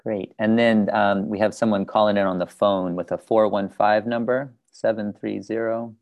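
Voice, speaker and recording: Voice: medium pitch, steady tone, normal speed, darkish tone, dull audio. A host patiently guiding people through introductions on a video call in a casual, instructive way. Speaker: host of an online group call.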